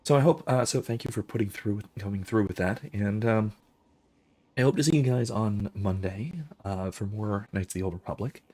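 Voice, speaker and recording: A man hosting a game stream, his voice hoarse and husky as he loses it. He rambles in a halting way, full of fillers and throat-clearing, at a lowish pitch. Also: darkish tone. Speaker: host. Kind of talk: casual let's-play sign-off.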